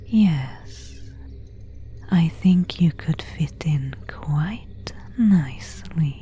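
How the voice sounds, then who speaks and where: Lady acting out a courteous but menacing vampire woman, asmr roleplay, super slow dark breathy whisper. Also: low-pitched, lively intonation, heavily acted. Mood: quietly commanding. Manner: slow, formal, old-fashioned phrasing. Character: seductive, predatory female vampire, courteous and menacing.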